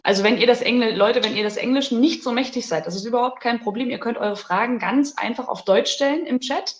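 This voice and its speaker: A woman's voice: a little high, a little fast. Chatty woman in an echoey room. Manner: lively, chatty, laughs easily. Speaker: co-host. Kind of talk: casual livestream chat.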